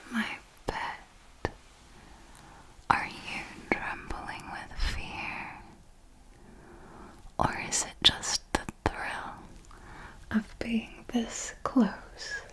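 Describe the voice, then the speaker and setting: Woman playing a slow, whispering seductive vampire mentor. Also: medium pitch. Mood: seductive, teasing. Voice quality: soft breathy whisper. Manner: slow, deliberate, velvety, formal and teasing. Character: seductive, possessive female vampire mentor, elegant and commanding. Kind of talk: whispered ASMR roleplay.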